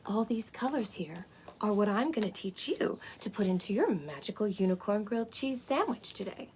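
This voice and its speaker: A woman's voice: mid-range voice, expressive, moderate pace, darkish tone, a little hiss or hum, natural voice. A woman playing a sweet, wide-eyed unicorn who hosts story time for children, with cooing wonder and exaggerated emphasis. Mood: excited, welcoming. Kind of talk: animated picture-book reading for children.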